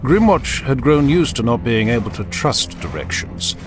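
A man narrating a dramatic audiobook in a solemn, deliberate storytelling manner. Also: mid-range voice, big pitch swings, music in the background.

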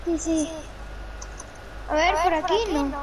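Very high-pitched voice, Spanish woman gaming. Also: steady tone, takes it slowly. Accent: Spain Spanish. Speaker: video game player. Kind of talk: casual gaming chat with a teammate. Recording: very noisy game voice chat.

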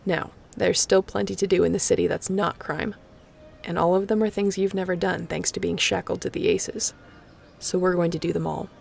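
Woman acting a snarky, gravel-edged space captain. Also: lowish voice, level tone, moderate pace, a little mellow, slight background noise. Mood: playful, eager. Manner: casual, teasing, conversational. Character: snarky, affectionate woman space captain, guarded but warm. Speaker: voice actor in a sci-fi audio roleplay. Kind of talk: intimate audio roleplay acting.

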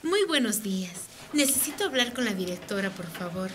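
Firm, bright-voiced woman advocate. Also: mid-range voice, big pitch swings, fairly quick, slight background noise. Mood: reassuring, determined. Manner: earnest, direct, insistent. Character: firm, outspoken women's rights advocate, adult woman. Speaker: radio drama actor. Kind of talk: radio drama acting.

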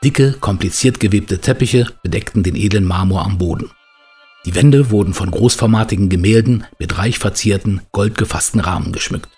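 An actor as a male narrator of an adventure audio drama. His voice is deep and he tells the tale in measured, scripted storybook sentences over soft music. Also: husky. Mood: measured storytelling.

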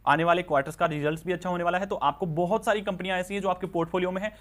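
Male market news presenter, slightly high voice, informal explainer delivery with frequent check-in tags. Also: fairly even intonation, racing, fairly bright tone. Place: voice-over on slides with handwritten notes.

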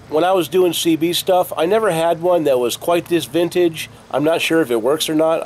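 American man vlogging over outdoor noise. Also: fairly high pitch. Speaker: online reseller vlogger. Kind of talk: casual vlog talk to camera. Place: open garage doorway, outdoors. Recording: clear outdoor background noise.

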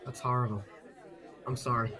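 An actor playing an earnest, polite young aspiring chef in a children's audio drama. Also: male voice, medium pitch, steady tone, moderate pace, lightly acted. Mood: friendly, polite. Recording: faint crowd behind the voice.

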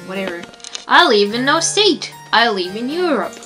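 A woman's voice: a little high, big pitch swings, normal speed, pushed and bright, faint music behind. Fed-up young woman, rambling. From Romania. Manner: exasperated, rambling with fillers. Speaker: toy video maker answering comments. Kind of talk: casual vlog Q&A.

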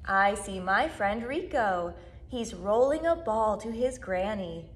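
A woman in her twenties, a children's music educator, reading a story aloud to young children with animated, sing-song delivery and drawn-out words. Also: mid-range voice. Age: 20s. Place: small plain indoor room, seated.

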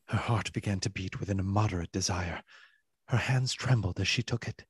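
A narrator with a husky voice, reading a literary tale as scripted narration in a radio play. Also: deep voice, fairly quick. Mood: measured.

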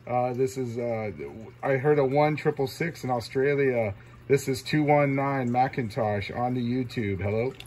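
A man's voice: medium pitch, a little slow, a little mellow. A man working as a CB radio operator, making repetitive call-outs in short clipped phrases of call signs. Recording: noisy, radio static hiss.

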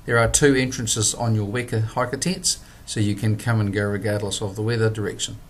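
A man, presenting a product in a measured, scripted voice-over. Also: on the low side, fairly even intonation. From New Zealand. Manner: measured, plain instructional delivery.

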